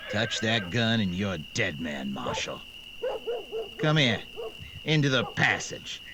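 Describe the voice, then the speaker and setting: An actor playing a coolly menacing western outlaw who gives slow, clipped orders in a radio drama. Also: male voice, fairly low pitch, background noise, heavily acted. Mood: menacing command.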